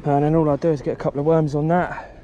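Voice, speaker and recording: A man's voice: a little high, fast, highs cut off. A man with an English accent on a seawall, casually talking through each step of his fishing setup. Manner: relaxed, talks through each step as he works. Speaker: angler vlogger. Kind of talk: casual how-to narration. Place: outdoor concrete seawall by the sea. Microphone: head-mounted action camera. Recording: outdoor wind and sea noise.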